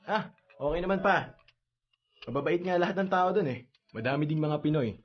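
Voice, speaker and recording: An actor playing a reserved, guarded young older brother, speaking politely in a radio drama. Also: male voice, a little high, animated, normal speed, close to an everyday voice. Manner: restrained, polite. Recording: dull, band-limited radio drama audio.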